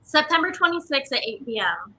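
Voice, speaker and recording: A woman's voice: sits high, sing-song, brisk, bright, forceful tone, a little hiss or hum, rough recording. A woman guest chatting casually and telling stories in an informal way. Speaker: guest, stage actor from a theater company. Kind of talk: casual anecdotal chat.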